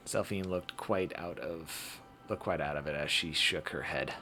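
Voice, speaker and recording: A man live-streaming a visual novel playthrough, reading aloud haltingly and correcting his own stumbles. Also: very deep voice, bright, forceful tone, faint music behind.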